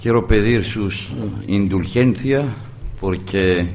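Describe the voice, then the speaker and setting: A man with a Greek accent, a native Greek speaker, giving a speech at a political conference in slow, halting, improvised speech with frequent pauses, rising to emphatic bursts on key words. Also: on the low side, a little mellow, slight background noise.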